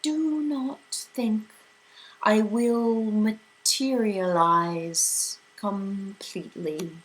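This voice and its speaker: A sharp, eerie, disembodied old being, as a woman reads her lines drags each word out at a crawl. The voice is strange and commanding, from a storybook reading. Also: medium pitch, pitched above the performer's own voice, rough recording. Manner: sharp, very slow and drawn out.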